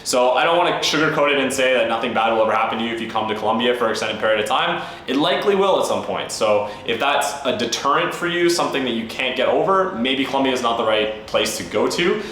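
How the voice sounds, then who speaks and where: A man's voice: mid-range voice, lively intonation, fast, few pauses, fairly bright tone. A young Canadian man talking casually and frankly to camera as a travel vlogger, with lots of fillers. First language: English. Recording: very echoey room with faint ambient noise.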